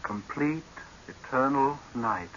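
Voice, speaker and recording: An actor playing an earnest, deferential doctor and deep-sea diving expert in a 1930s radio horror drama, speaking in a measured, respectful, formal way. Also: male voice, a bit bright. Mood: grave, explanatory. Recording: dull, hissy old 1930s radio recording.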